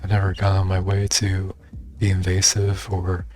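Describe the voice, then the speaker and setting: A young man talking in a soft, reserved and hesitant way, with many fillers, in a personal video monologue. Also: sits low, completely flat delivery, moderate pace, faint music behind. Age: young adult. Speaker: vlogger.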